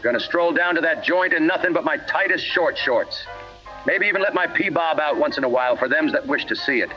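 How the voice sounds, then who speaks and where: A man's voice: a little high, a little fast, close to an everyday voice. A man playing a violent, boastful gangster mama's boy in a parody radio drama, crude and menacing. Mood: cocky swagger, then slow menace. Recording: muffled old-radio sound with music.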